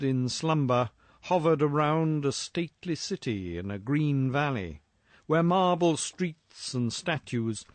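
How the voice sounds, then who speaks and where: A man reading a gothic horror tale as a scripted narration, in a measured, literary storytelling manner. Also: on the high side, no echo, studio quality.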